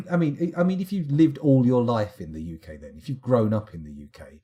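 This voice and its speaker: A man's voice: medium pitch, normal speed, warm tone. A man, chatting and rambling while he streams a game late at night and answers his chat, sounding tired. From England. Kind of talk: casual live-stream chat.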